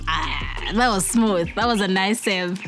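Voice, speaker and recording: A woman's voice: mid-range voice, music in the background. Teasing woman quizzing a dating contestant. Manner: teasing, quick questions. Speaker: dating show co-host. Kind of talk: playful interviewing.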